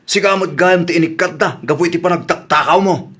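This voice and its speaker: A man's voice: high voice, fairly quick, fairly bright tone, breathy edge. An actor with an Ilocano accent playing a stern, reproachful man in a radio drama. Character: stern man confronting a relative over wrongdoing.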